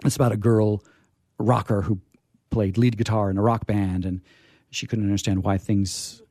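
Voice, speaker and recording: Mellow American man, halting radio host. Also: fairly low pitch, flat delivery, dry recording, clean studio sound. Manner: halting, discursive, with emphatic repetition. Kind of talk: casual book chat.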